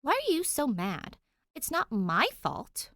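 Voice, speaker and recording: Stubborn young girl, played by a woman, curt and hot-tempered, higher-pitched, for a fan fiction audiobook. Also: wildly swooping pitch, slow pace, a bit bright, no room sound, clean studio sound, exaggerated voice. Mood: bitter, annoyed. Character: defiant, prickly young girl, grumpy and stubborn.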